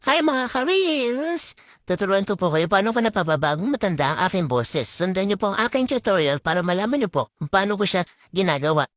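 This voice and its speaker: A woman's voice: fairly low pitch, expressive, quick pace, no echo. Filipino woman with swooping, effected old voice. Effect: old-person voice changer effect. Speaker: tutorial host. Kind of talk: scripted video introduction.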